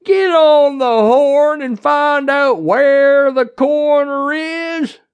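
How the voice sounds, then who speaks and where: A narrator voicing a bossy, sarcastic, folksy small-town sheriff in an audiobook, with a drawn-out barking delivery. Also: mid-range voice, big pitch swings, gravelly, muffled recording, pitched above the performer's own voice, full character voice. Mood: commanding.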